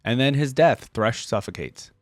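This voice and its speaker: A man's voice: medium pitch, animated, moderate pace, a little hiss or hum. A man hosting a reaction video, giving casual, rambling gaming commentary as he thinks aloud.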